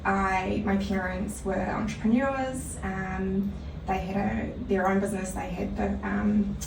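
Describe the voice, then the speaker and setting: A woman in her thirties with a New Zealand accent, a podcast guest talking about her life in a dry, matter-of-fact way with many pauses. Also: mid-range voice, steady tone, creaky, echoey room, far from the mic, noisy background. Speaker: guest, design week founder. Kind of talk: casual interview.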